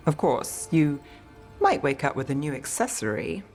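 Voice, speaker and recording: A woman's voice: low voice, big pitch swings, moderate pace, a little mellow, soft background music. A woman playing a wry, world-weary detective in a video game, speaking in a dry, understated way. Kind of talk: video game character acting.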